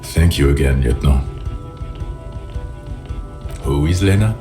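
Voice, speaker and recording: An actor playing a gruff, loyal police officer in a game, with a curt, blunt way of talking. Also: male voice, extremely low pitch, unhurried. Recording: music under the voice. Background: music.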